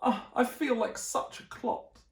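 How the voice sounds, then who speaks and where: A woman's voice: a little high, measured pace, roomy sound, voice pushed up. A woman voicing a tall, clumsy, sensitive young male giraffe from a children's storybook, with an earnest, emotional delivery. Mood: dejected.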